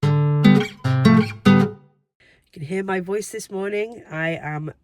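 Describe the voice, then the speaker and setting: A woman's voice: medium pitch, drawn-out words, darkish tone, no echo, soft background music. A woman talking casually to camera as a weight-loss vlogger, chatty and reflective with frequent ums and light laughs.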